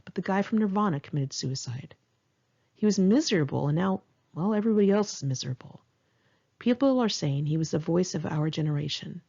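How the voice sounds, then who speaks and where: A woman reads her own memoir aloud in a lowish register with a husky grain. Her narration is conversational and candid, with a wry edge. The recording is a little dull and lo-fi, like a homemade audiobook. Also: a little mellow. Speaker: author reading own memoir.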